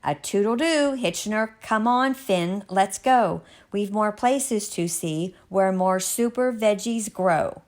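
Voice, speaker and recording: A woman's voice: mid-range voice, takes it slowly, few pauses, darkish tone, natural voice. Lady doing a jolly bustling old balloon guy from a picture book, exuberant, declaims in rhyme, takes his time. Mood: upbeat, hurried. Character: jolly, bustling old balloon-flying man, soup supervisor.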